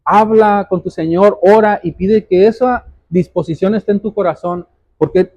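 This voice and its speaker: A man delivers a sermon in a high-pitched yet mellow voice. He explains scripture like a teacher, repeating key phrases and asking pointed questions of his listeners. The sound is dry and a little muffled. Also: moderate pace, no room sound. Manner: addresses listeners directly as brothers. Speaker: preacher.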